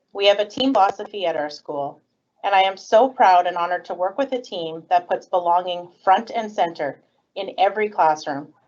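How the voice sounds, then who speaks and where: A woman's voice: lowish voice, a little mellow. A woman who is a school principal, giving an earnest, grateful address to her community. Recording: dull lo-fi sound.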